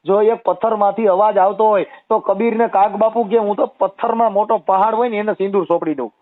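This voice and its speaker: Guy w kathiawadi gujarati accent, pretty high voice, insistent debating on a muffled phone call. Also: fairly even intonation, quick pace. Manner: insistent, explanatory, addresses listener as sister. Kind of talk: argumentative phone conversation. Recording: muffled low-fidelity phone call recording.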